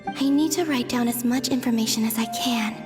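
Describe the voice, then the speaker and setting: A woman playing a gentle, melancholy young moon maiden in a video game, speaking softly and breathily in a hushed, wistful way. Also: a little high, fairly quick, music in the background, close to an everyday voice. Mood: quietly determined. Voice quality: soft, breathy. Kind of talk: video game cutscene monologue.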